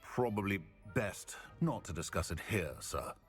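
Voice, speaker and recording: Guarded professor, low voice, widely varied intonation, deferential, hesitant, fantasy game. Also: male voice, animated, measured pace, faint music behind. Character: discreet, deferential potions professor. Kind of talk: video game voice acting.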